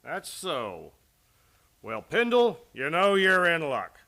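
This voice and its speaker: A man's voice: on the high side, wildly swooping pitch, takes it slowly, sharp tone, heavily acted. A man playing a menacing, mocking cowboy bully in a Western radio play, with a folksy, taunting American drawl. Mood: sly, mocking.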